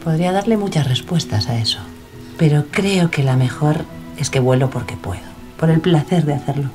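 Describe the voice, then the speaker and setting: A woman's voice: low voice, normal speed, music in the background, lightly acted. A woman playing a bold, stubborn, plucky young aviator in an audio drama. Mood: confident.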